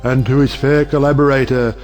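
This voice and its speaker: Man as jovial older judge, audio play, middle-pitched, unhurried, speechifying, theatrical, faint background music. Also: little pitch movement, darkish tone, close to an everyday voice. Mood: oratorical.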